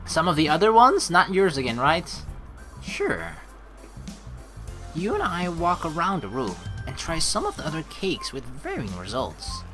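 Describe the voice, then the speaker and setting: A woman's voice: low-pitched, huge pitch swings, unhurried, a bit bright. A woman hosting a game playthrough, reading narration aloud and adding playful, chatty commentary over music. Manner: playful, chatty, reacts to the story. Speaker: let's play host.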